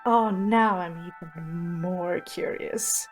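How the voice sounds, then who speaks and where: A woman's voice: lowish voice, slow, soft background music. A woman playing a tabletop game, casually describing her character's actions and musing aloud in character.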